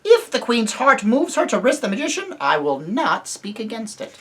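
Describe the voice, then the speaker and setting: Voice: medium pitch, sing-song, normal speed, pitched above the performer's own voice, natural voice. A reader voicing a brave talking mouse knight who speaks formally and courteously. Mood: courtly.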